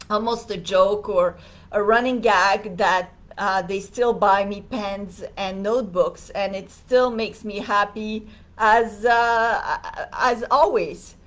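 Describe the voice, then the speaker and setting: Woman, mid pitch, unhurried pace, casual livestream host who pauses often. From Serbia. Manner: hesitant, many fillers, reads viewer comments.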